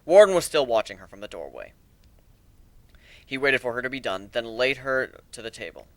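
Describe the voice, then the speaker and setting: A man reading a story aloud as a dramatic narrator, in a measured, deliberate way with expressive emphasis. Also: medium pitch, no echo.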